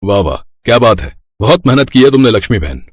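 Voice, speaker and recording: A man plays a middle-aged merchant from a storybook, gruff on top and kindly underneath. He talks plainly, like an elder brother, at a medium male pitch. The recording is close and dry. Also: very animated, quick pace, dry recording. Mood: warmly impressed. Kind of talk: acted dialogue in a children's moral story.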